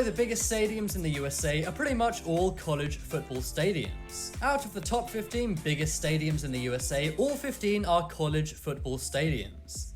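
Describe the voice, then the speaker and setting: Lively scripted list narrator. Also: medium pitch. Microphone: voice-over.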